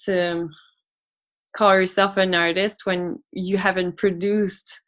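A woman's voice: fairly low pitch, level tone, slow. Hesitant woman singer chatting. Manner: hesitant, many restarts and laughs. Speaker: podcast guest, singer. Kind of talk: casual conversation.

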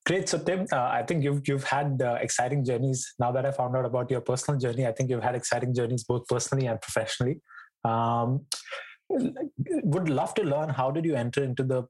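A man's voice: medium pitch, fairly quick, darkish tone, breathy edge, low-quality recording. A man hosting a podcast interview, friendly, with many fillers and restarts. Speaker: podcast host.

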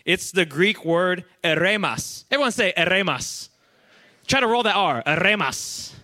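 Bright, upbeat man preaching a sermon. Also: high voice, unhurried. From Iran. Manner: energetic, chatty, asks the crowd for responses. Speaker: pastor. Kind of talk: sermon to a young adult congregation.

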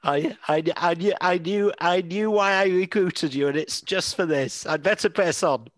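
Thoughtful Mancunian priest hosting a podcast. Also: male voice, high-pitched, normal speed, no room sound, clean studio sound. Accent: Manchester. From England. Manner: thoughtful, rambling with many fillers and restarts. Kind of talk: casual conversational podcast chat.

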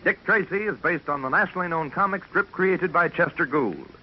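A man's voice: a little high, a little fast, loud music behind the voice. A man announcing dramatically and emphatically, in the style of a 1940s radio promo. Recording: muffled 1940s radio broadcast.

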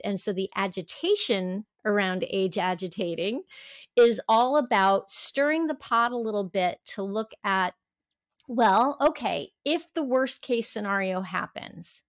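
A woman's voice: medium pitch, dry recording. Woman, 50s, podcast guest; sincere and reflective, mid-range voice, dry and close. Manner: earnest, reflective, restarts often. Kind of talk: casual interview chat.

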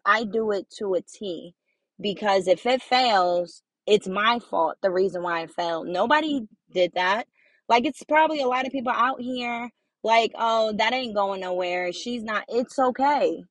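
A young woman with a high voice hosting her own podcast, talking casually and repeating phrases for emphasis as she encourages listeners. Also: a bit bright, no echo, poor audio. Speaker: podcast host. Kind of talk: casual motivational monologue.

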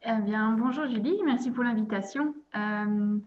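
A woman's voice: on the high side, normal speed, highs cut off. A young woman from the province of Liège in Belgium, a podcast guest, talking casually with many hesitations and light laughs. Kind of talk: casual interview chat.

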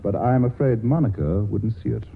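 A man's voice: lowish voice, lively intonation, brisk, creaky. Tinny old-radio witty, theatrical cultured man. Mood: wry, urbane. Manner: flippant, urbane, theatrical. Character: witty, self-dramatizing cultured male writer in love trouble. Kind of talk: 1940s radio drama acting. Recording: tinny, noisy 1940s radio broadcast.

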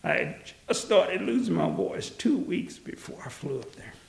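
An older man with a gravelly, strained voice, telling his story in an animated, laughing way. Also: sits high, normal speed, reverberant. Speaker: interviewee, museum founder. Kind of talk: storytelling interview. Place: his museum, indoors.

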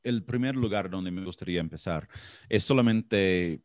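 Halting, low-voiced American man, non-native speaker. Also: no echo, studio quality. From Florida, United States. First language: English. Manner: halting, searching for words in a second language. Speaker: podcast guest. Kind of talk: interview answer.

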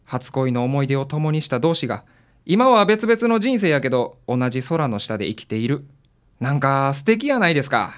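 Casting: defensive, excitable Kansai dad as client, acted by a man, mid-pitched with lively swings, radio drama. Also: normal speed, lightly acted. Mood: wistful. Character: flustered, sentimental married father, a male client. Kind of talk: audio drama acting.

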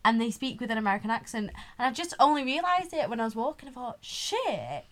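A woman's voice: on the high side, big pitch swings, fast talker, fairly bright tone. A woman in her twenties co-hosting a podcast, chatting casually, often laughing and filling her talk with "like". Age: 20s. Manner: chatty, laughs a lot, lots of likes. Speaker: podcast co-host. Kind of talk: casual chat.

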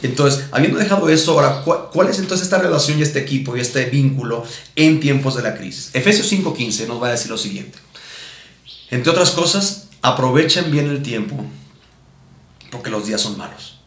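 Male pastor, Mexican accent, average pitch. Instructive preaching with repeated points and check-in questions, recorded with noticeable room echo. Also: lively intonation, normal speed. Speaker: pastor teaching at a missions conference. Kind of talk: teaching sermon with Bible readings. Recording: roomy, echoing sound.

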